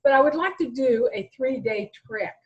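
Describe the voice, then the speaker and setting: A woman's voice: sits high, lively intonation, moderate pace, lo-fi sound. An American woman in her sixties talking conversationally as an interview guest, telling her own story. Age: 60s.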